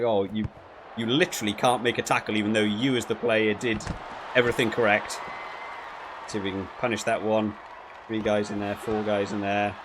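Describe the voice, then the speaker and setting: A man giving casual, rambling commentary while playing a video game, often halting and repeating his words. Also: on the low side, background noise. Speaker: video game reviewer and player.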